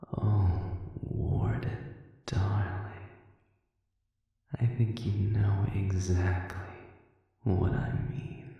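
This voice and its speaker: A performer voicing a sadistic, manipulative demon in a scripted audio roleplay, silkily taunting with slow, deliberate pauses and breathy near-whispers. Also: deep voice, highs cut off, heavily acted. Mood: mocking, teasing. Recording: echoing hall reverb, distant dark sound.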